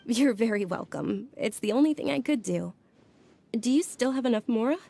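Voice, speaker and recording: An actress voicing a gentle, devout young noblewoman in a video game, speaking softly, earnestly and politely. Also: on the high side, animated, moderate pace, vocal fry. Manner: soft-spoken, earnest, polite. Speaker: noble lady. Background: game soundtrack music.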